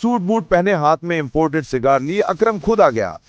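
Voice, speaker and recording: A man's voice: fairly high pitch, sing-song, normal speed, dry recording, dull audio. A man narrating a scripted audio drama in a dramatic storytelling style.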